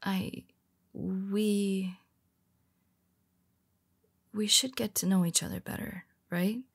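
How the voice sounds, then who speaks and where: A woman voicing a young female superhero in an audio roleplay, speaking hesitantly in a husky half-whisper with many restarts. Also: medium pitch, steady tone, slow, dry recording, full character voice. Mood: tender, confessional.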